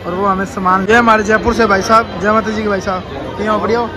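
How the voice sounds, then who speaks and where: A young man narrates a train journey for his vlog in a chatty, casual way. His voice sits high. A crowd bustles loudly around him on the platform. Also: fast. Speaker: vlogger. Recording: crowded train station noise.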